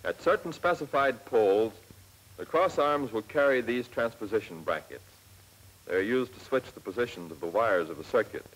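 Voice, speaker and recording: An American man narrates a 1940s army training film with a lowish, rough-edged voice. He instructs step by step in a plain, measured way. The sound is that of an old film soundtrack. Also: lively intonation, poor audio. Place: scripted voice-over for film.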